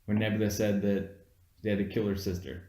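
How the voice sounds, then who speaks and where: Low-voiced man in an echoey room. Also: little pitch movement, a little fast, dark tone. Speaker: amateur movie review co-host. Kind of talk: casual argumentative film chat between friends.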